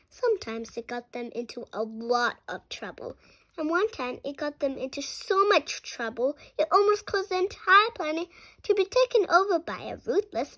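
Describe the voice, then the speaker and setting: A seven-year-old child reading a funny children's book aloud, expressively and with some halting stumbles over words. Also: sits high, fairly bright tone, low-quality recording. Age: 7 years old. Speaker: young reader.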